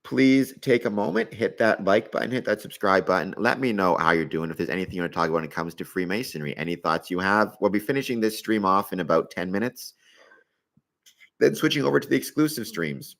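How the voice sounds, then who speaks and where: A man's voice: low-pitched, fairly bright tone. A man in his forties hosting a live stream, chatting casually as he answers questions and thinking aloud with hedging asides. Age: 40s.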